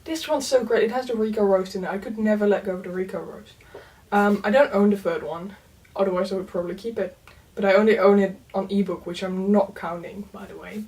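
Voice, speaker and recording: A young woman whose first language is Dutch talks through her bookshelf in a loose, chatty way, dotting her sentences with "um" and "like". Her voice sits at a medium pitch and picks up a little distance, as if the mic is set back from her. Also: fairly quick. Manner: chatty, lots of "um" and "like", soft laughs. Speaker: book vlogger. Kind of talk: casual chat.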